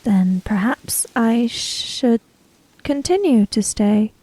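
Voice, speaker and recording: A woman voicing a withdrawn, stubborn samurai-era woman in a tabletop game, speaking tersely and with deliberate care. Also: fairly high pitch, expressive, dark tone, no room sound, low-quality recording. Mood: stubborn, sullen.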